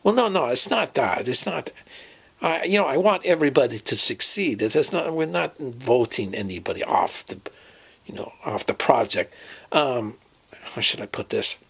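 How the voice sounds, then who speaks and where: A man playing a fussy professor and inventor who speaks in a halting, hedging way, in an amateur audio drama. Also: medium pitch, expressive, creaky, slightly rough. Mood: earnest, evasive.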